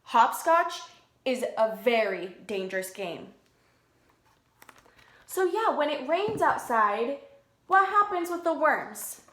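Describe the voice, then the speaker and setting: A high-pitched woman reads a storybook to small children at a slow pace. She speaks like a preschool teacher, explaining things simply and prompting with questions. The room around her sounds echoey. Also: very animated, fairly bright tone. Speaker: preschool teacher reading a picture book aloud. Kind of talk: storybook read-aloud with questions to young children.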